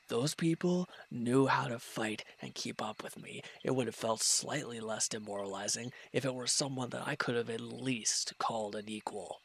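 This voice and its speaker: A man's voice: mid-range voice, vocal fry, a bit bright, husky, full character voice. A man acting a proud, bitter, wounded villain in an audio roleplay, speaking in a curt, irritable, dismissive way. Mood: bitter, exasperated. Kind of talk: dramatic roleplay audio monologue.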